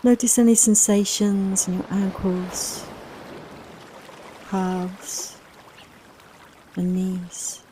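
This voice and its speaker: A woman leading a guided relaxation meditation in a soft, soothing, unhurried, reassuring manner, with long pauses between phrases. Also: mid-range voice, fairly even intonation, slight background noise. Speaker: guided meditation and yoga nidra teacher.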